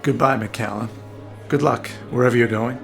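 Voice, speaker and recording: A man's voice: mid-range voice, steady tone, normal speed, creaky, lightly acted. An actor playing a loyal, slightly awkward everyman in a naturalistic audio drama, speaking informally and wryly with some hesitation. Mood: awkward, fond. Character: warm, awkward, loyal everyman boat owner, male. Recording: loud music bed behind voice.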